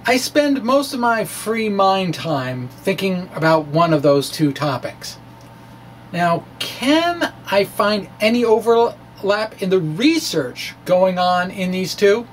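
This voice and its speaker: High-voiced man, recorded a little far off. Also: big pitch swings. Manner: halting, wry, conversational asides. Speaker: science blogger and presenter. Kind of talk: dramatic reading of a blog with informal asides. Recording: distant mic with faint background noise.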